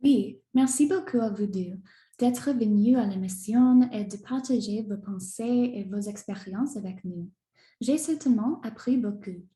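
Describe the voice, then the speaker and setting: A woman's voice: mid-range voice, unhurried, mellow. Woman host in an echoey room. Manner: scripted, read-aloud. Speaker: show host. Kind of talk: scripted talk show hosting. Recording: roomy echo.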